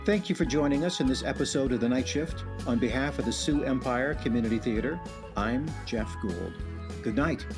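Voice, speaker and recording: Brief: male host narrating over music, wry and mid-pitched, slightly ominous. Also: moderate pace. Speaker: host and writer-director. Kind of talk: scripted radio-show narration.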